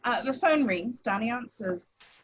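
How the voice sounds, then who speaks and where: A woman's voice: on the high side, lively intonation, measured pace, slight background noise. A woman reading stage directions as plain scripted narration at an informal table read. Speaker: action-description reader.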